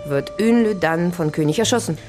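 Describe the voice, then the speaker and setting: Actress as low-voiced, sceptical investigative colleague woman. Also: normal speed, over music, natural voice. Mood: reasoning. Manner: direct, reasoning, sceptical. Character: sceptical, inquisitive female colleague working through a case. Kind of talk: naturalistic German radio crime drama acting.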